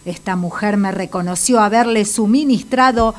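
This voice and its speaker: Stern, sardonic Argentine doctor, played by an actress. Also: female voice, medium pitch, normal speed, lightly acted. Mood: stern, impatient. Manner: sardonic, insistent. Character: stern, sarcastic female hospital doctor. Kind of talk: comic radio drama acting.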